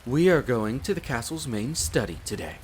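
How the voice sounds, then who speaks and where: Courteous castle butler , male actor, mid-pitched, measured and deferential, audio roleplay, light rain noise. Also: natural voice. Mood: courteous, attentive. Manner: formal, polite, measured, deferential. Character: courteous, devoted demi-human butler in a vampire's castle. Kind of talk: scripted roleplay addressed to the listener. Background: steady rain of a storm.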